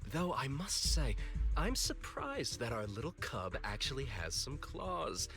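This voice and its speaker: An actor voicing a grandiose, fanatical cult villain in a video game, preaching in a pompous, theatrical way. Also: male voice, mid-range voice, big pitch swings, unhurried. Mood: smug. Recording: game audio under loud music.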